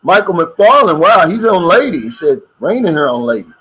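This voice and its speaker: A man's voice: high-pitched, sing-song, normal speed, bright, forceful tone, husky, rough recording. An older American man co-hosting a casual livestream chat and reading out viewer comments in a folksy, drawling way. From United States. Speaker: co-host of a livestream.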